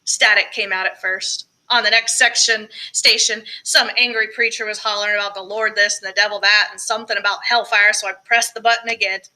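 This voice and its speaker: A woman's voice: medium pitch, level tone, a little fast, hardly any pauses, pushed and bright, poor audio. A woman reading a first-person story aloud with expression, as an audiobook narrator. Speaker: audiobook reader, choir director.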